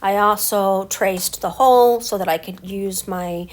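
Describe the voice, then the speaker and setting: A middle-aged woman with a stuffy head cold, talking through her scrapbook layout step by step in a relaxed voice-over. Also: medium pitch, unhurried. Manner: relaxed, explains each step as she works. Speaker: scrapbooking crafter. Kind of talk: casual craft voice-over.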